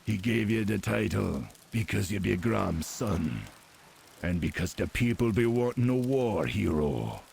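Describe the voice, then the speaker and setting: An actor playing a grave, defiant troll leader in a game cinematic, speaking with a Caribbean accent in a deliberate, ominous manner. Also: male voice, fairly low pitch, expressive, vocal fry, darkish tone, a little hiss or hum, exaggerated voice. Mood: stern defiance. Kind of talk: video game cinematic acting.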